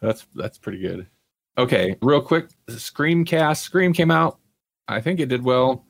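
A man's voice: mid-range voice, animated, a little slow, creaky. A man hosting a casual pop culture talk show in a wry, conversational way. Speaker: podcast host.

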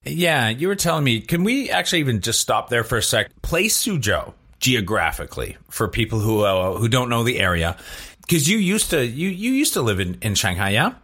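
A man's voice: mid-range voice, sing-song, moderate pace, a bit bright, breathy edge. A man hosting a business podcast, introducing his guest in an upbeat, conversational way. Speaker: podcast host. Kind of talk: podcast interview and scripted intro.

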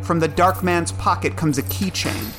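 A man's voice: a little high, moderate pace, fairly bright tone. A man narrating a scripted drama, reading out dramatic scene descriptions in the present tense. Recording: music bed behind narration.

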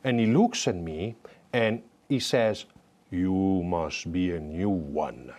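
A middle-aged man from Italy, a guest recounting stories in an interview with animated, good-humored delivery. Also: sits low. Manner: warm-humored, animated storytelling with brisk asides. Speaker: guest, former papal guard. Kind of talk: storytelling interview.

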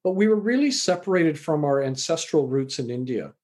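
A man's voice: on the high side, animated, brisk, a little mellow. A middle-aged man from Canada, an author and university lecturer, answering interview questions in a reflective, storytelling way with frequent fillers.

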